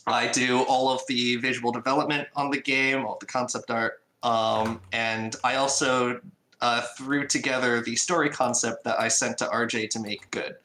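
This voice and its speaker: A man with a bright, forward voice and mid pitch, recorded with noticeable room echo. He speaks as a game director in a casual interview, pausing often and downplaying himself. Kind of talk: casual group interview chat. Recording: echoey room sound.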